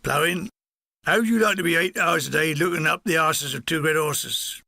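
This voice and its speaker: A man's voice: fairly high pitch, moderate pace, creaky, bright, forceful tone, no echo, studio quality, heavily acted. A man voicing a blunt, grumbling army batman, once a farmhand, with a Cornish accent. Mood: exasperated.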